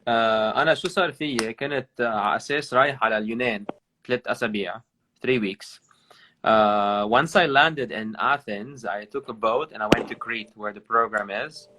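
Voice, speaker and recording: A man from Lebanon with a Lebanese accent, a guest talking over a video call, mixing English and Arabic with many pauses. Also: mid-range voice, sharp tone, poor audio. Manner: switches between English and Arabic mid-sentence; talks with many hesitations and restarts. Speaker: podcast guest. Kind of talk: casual interview over a call.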